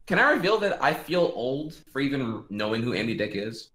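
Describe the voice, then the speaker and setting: A man in his late twenties on a casual group stream, joking and animated. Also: medium pitch, brisk, over a noisy crowd. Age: 20s. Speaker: streamer guest.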